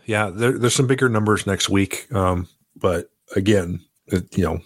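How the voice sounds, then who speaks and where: Podcast co-host, male, very low husky voice, measured, analytical delivery. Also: no echo, studio quality. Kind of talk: casual sports betting chat.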